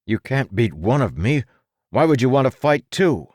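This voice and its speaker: A man's voice: medium pitch, very animated, moderate pace, a little mellow, dry recording, clean studio sound, pitched above the performer's own voice. A man narrating an audiobook and voicing an angry young superhero boy who speaks in terse, growled demands. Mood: demanding.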